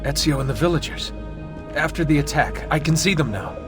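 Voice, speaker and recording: Wry young American actor as game hero. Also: male voice, fairly high pitch, lively intonation, brisk, over music, lightly acted. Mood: troubled. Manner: casual, wry. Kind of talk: video game voice acting.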